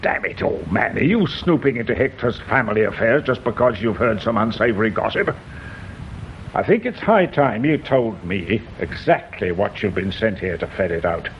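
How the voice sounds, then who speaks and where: A man's voice: lowish voice, lively intonation, normal speed. An actor playing an indignant, guarded older English father in a radio mystery drama, blustering and hesitant when pressed. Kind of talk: British radio drama acting. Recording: dull radio recording, noticeable background noise.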